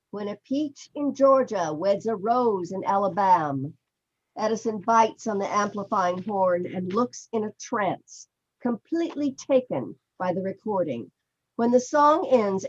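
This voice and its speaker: A woman reading stage directions for a play as scripted narration. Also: medium pitch. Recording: dull video-call audio.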